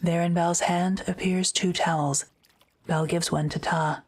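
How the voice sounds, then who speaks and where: A woman narrating an audio drama script in a measured, matter-of-fact way. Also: lowish voice, little pitch movement.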